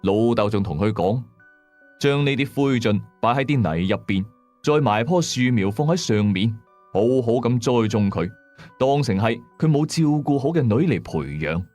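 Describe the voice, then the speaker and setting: A man's voice: medium pitch, expressive, normal speed, a little mellow, faint music behind. A man with a Hong Kong Cantonese accent narrating a first-person horror story as a storyteller.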